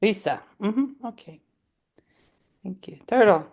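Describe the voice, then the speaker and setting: Woman, meeting facilitator, video call, short prompts, middle pitch. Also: lively intonation, slow pace, gravelly, a little mellow. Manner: brief, prompting others by name. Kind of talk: online meeting chat.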